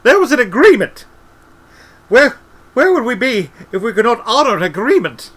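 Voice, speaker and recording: A man voicing a defensive, ancient wizard servant in an audiobook, gruff and folksy, given to whining and ungrammatical asides. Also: a little high, animated, normal speed, bright, forceful tone, pitched above the performer's own voice. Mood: panicky, indignant. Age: older. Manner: colloquial, ungrammatical, tacks on "see?" and "you know".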